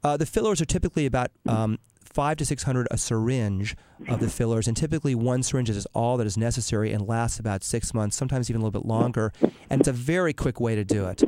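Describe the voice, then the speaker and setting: A man with a raspy, hoarse voice from laryngitis, explaining cosmetic treatments as a surgeon on a call-in radio show, with frequent ums and restarts. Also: medium pitch, fast, few pauses, background noise. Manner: explanatory, many fillers and restarts. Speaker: dermatologic surgeon, radio show guest expert.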